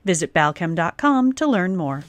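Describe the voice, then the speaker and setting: The woman who reads the sponsor spot between podcast segments, lowish voice swinging up and down through the script. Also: very animated, normal speed, faint music behind. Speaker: sponsor announcer. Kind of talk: scripted advertising narration.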